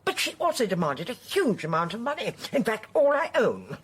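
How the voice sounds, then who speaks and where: A woman's voice: a little high, sing-song, normal speed, breathy edge, exaggerated voice. An actress playing a genteel, haughty older woman in a radio drama, a chatty and unrepentant poisoner with an imperious, emphatic manner. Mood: coolly boastful.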